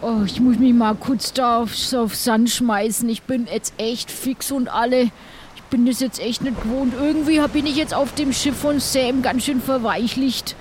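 A high-pitched, fussy pirate played by a man who rambles and grumbles through an improvised radio adventure. There is background noise around the voice. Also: steady tone, normal speed. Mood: complaining. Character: grumbling, soft pirate Kalle, fussy about catching colds. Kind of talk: improvised audio adventure play. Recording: noisy background ambience.

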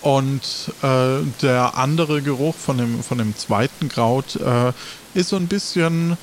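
A man improvising narration as game master of a tabletop game, halting and searching for words. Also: mid-range voice, slight background noise.

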